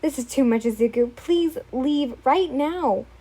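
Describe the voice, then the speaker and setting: A woman's voice: sits high, unhurried, mellow, husky. A woman voicing a young woman who speaks in a firm, insistent way. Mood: alarmed.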